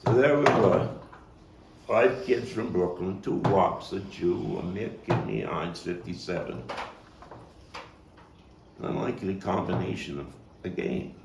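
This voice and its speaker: Stage monologue role: male actor playing reflective Brooklyn street kid grown up, gravelly, pausing dramatically, heard from a distant mic. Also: low voice. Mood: nostalgic, somber. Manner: halting, reflective, dramatic pauses. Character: street-wise, bookish Brooklyn man recalling a hard childhood. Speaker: actor-playwright performing his monologue. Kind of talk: theatrical monologue at a table read. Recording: roomy, distant mic with faint noise.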